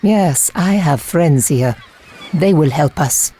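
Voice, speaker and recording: A woman's voice: low voice, animated, slow, mellow, breathy edge, full character voice. A woman playing a resolute, commanding warrior leader in a video game cutscene, speaking firmly. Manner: firm, commanding. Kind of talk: scripted game cutscene dialogue.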